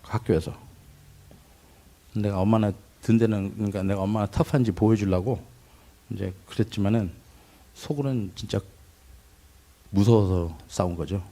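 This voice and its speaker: A middle-aged man giving a personal testimony, recalling his childhood in a reflective way with pauses and restarts. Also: lowish voice, warm tone. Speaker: testimony speaker.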